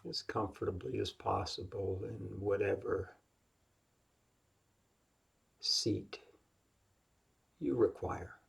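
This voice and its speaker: A man leading a guided yoga nidra meditation as the teacher, speaking gently and unhurriedly with long pauses in a husky, breathy, gravelly voice. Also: deep voice.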